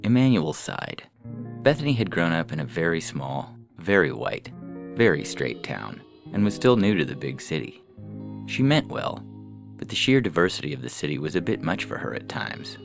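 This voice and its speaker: A man's voice: low-pitched, sing-song, normal speed, gravelly. A man reading as the narrator of a scripted story. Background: music.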